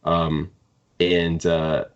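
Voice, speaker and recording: A man co-hosting a podcast, talking through books casually in a halting, thinking-aloud way. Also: low-pitched, level tone, highs cut off. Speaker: podcast co-host. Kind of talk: casual book discussion.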